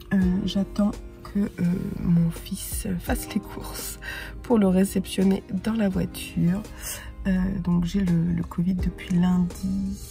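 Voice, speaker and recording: Middle-aged woman book vlogger with a cold, gravelly fry, halting casual delivery, talking from her car. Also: lowish voice, warm tone. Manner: halting, with frequent hesitations. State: congested with a sore throat. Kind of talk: casual reading-journal chat. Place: parked car.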